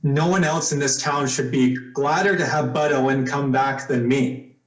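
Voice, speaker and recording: A man's voice: mid-range voice, steady tone, moderate pace, echoey room, lightly acted, low-quality recording. An actor playing a suspicious, blunt young man making threats in an old-time radio suspense drama. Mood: bitter, threatening. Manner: blunt, tough.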